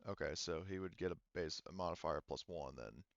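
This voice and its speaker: A man working as game master, casually narrating a sci-fi tabletop roleplaying game in a halting, think-aloud way. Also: low voice, vocal fry, a bit bright, muffled recording, a little hiss or hum.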